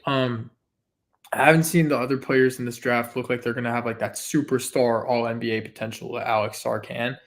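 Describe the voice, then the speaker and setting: A man with a slightly raspy voice co-hosting a casual basketball podcast, eager and quick to agree. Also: medium pitch, steady tone. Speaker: podcast co-host. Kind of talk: casual sports podcast chat.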